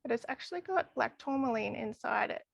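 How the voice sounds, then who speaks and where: A woman's voice: a little high, animated, brisk, vocal fry. A woman losing her voice, talking enthusiastically about crystal healing as a podcast guest. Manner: enthusiastic, chatty with frequent laughs. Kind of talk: casual podcast interview.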